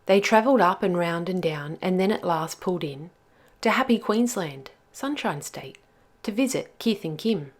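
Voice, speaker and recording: A woman's voice: on the low side, animated, moderate pace. A woman storyteller reading a rhyming children's picture book aloud with expression.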